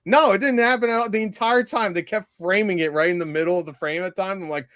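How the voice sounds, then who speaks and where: A man chatting casually as he reviews a movie, animated and quick to swear or act out bits. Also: high voice, dry recording, studio quality. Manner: animated, mimicking characters, swearing.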